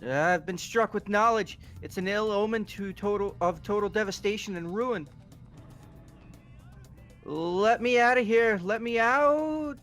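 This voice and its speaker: A man's voice: high-pitched, measured pace, a bit bright, faint music behind. A man voicing a panicky, superstitious young fortune-teller in a video game, dramatic and alarmist. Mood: panicked. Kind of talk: amateur visual novel voice acting.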